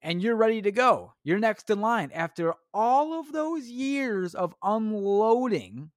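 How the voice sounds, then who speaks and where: Young dude ranting on his podcast, swears nonstop, kinda high voice, dry close mic. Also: lively intonation, a little slow, no room sound. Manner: crude, rambling, self-mocking, swears a lot. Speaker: podcast host. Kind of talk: solo comedic podcast rant.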